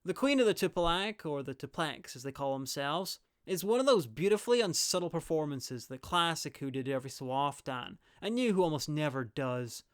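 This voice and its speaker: A man's voice: on the high side, expressive, fairly quick, hardly any pauses, clean tone. A man narrating a scripted review in a wry manner.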